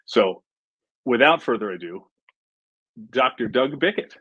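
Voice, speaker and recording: A man hosting a casual livestream, chatty and relaxed, with frequent fillers. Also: mid-range voice, measured pace, slightly rough, no echo, highs cut off. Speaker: film director hosting a livestream.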